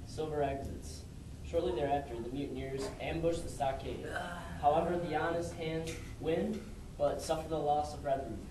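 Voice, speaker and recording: A man's voice: fairly high pitch, fairly even intonation, normal speed, noisy background. A man narrating an amateur puppet show, reading stage directions in clipped phrases. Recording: echoey room, distant mic, music behind.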